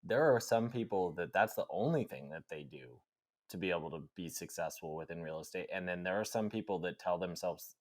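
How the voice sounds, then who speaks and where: Deep-voiced man, relaxed and reflective. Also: a little fast. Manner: relaxed, reflective, fills with "like" and "right?". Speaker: podcast co-host. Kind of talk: casual podcast conversation.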